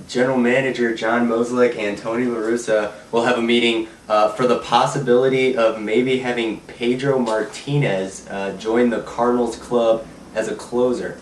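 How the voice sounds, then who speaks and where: A man's voice: medium pitch, moderate pace, slight background noise. Guy with a stuffy nose from a cold talking baseball news, echoey room, mic kinda far. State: congested, nasal from a cold. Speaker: podcast co-host. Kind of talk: casual sports chat.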